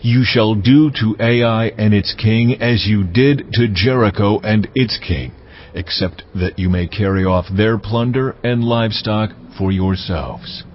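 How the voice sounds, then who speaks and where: Slow, solemn, commanding God. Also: male voice, fairly low pitch. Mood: commanding, assuring. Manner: stately, slow, emphatic pronouncements. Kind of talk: dramatized audio Bible acting. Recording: slightly muffled, music behind the voice. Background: dramatic music.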